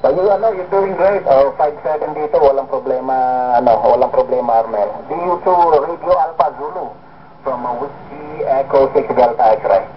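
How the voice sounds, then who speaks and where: A man's voice: mid-range voice, moderate pace. A man with a Filipino accent chatting casually as an amateur radio operator, mixing in English and radio jargon. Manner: code-switches into English, uses radio jargon. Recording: dull, very noisy amateur radio signal.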